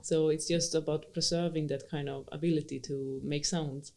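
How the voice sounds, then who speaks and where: Woman podcast host with a mellow voice. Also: low-pitched, fairly even intonation, moderate pace. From Sweden. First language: Swedish. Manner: supportive, many short yeahs, light fillers. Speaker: podcast host, cello teacher. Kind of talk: casual interview conversation.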